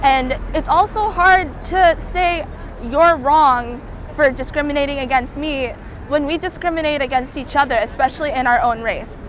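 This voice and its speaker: A young woman with a high voice gives earnest, candid answers in an interview, now and then pausing on fillers. She is recorded outdoors on a city street, with faint traffic noise behind her. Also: fairly bright tone. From California.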